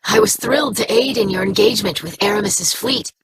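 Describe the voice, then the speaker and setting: A woman's voice: medium pitch, brisk, a crowd in the background, lightly acted. An actress playing an earnest young female alien scholar who speaks in stiff, precise, formal diction.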